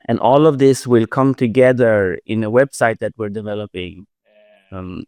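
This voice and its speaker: A man from Sweden, a creator answering questions in a casual live audio chat, halting and full of restarts. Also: mid-range voice, clean tone, dry recording. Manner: halting, many restarts and fillers. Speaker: art project creator, writer-director.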